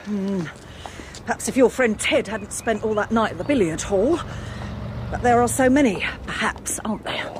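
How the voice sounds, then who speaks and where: An actress playing a bereaved, sharp-tongued older mother in a radio drama, dry and sarcastic. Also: mid-range voice, lively intonation, moderate pace, close to an everyday voice. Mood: guarded, probing. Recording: noisy, with background ambience.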